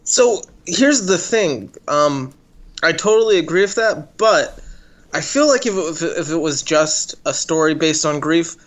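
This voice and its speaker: A man with a fairly high voice chats casually as a podcast co-host. He feels his way hesitantly through his points. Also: fairly bright tone. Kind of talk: casual film discussion.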